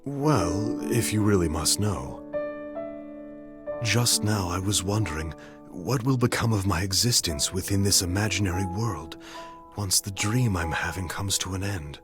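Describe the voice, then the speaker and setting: A man playing a pensive, melancholy, polite gentleman in a video game, with a reserved, formal way of musing. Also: sits low, unhurried, music in the background. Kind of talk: animated video game voice acting.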